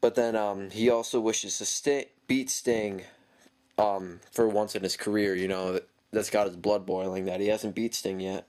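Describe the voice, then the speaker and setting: A man's voice: lowish voice, steady tone, moderate pace, darkish tone. A man with a cold, talking through a casual wrestling show review with lots of ums and self-corrections. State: stuffy from a cold.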